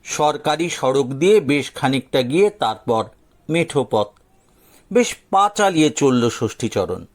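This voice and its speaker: A man narrating a scripted audio story dramatically, with theatrical, suspenseful pauses. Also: medium pitch, big pitch swings.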